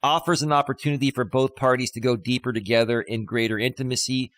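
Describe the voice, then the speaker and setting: Man with a deep voice, very dry sound. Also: fairly even intonation, fast, fairly bright tone, no echo. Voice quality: deep bass voice. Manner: playful, reads passages aloud and riffs on them. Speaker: life coach and host. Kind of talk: casual live-stream chat reading a text. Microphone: studio dynamic microphone.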